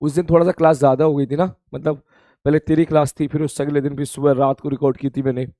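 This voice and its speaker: A male physics teacher with a hoarse, worn throat talks to his students in a live-streamed class. His voice sits a little high for a man, and he chats freely, repeats his greetings and calls them "beta". The sound is close and dry. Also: little pitch movement, fast talker, mellow, no room sound.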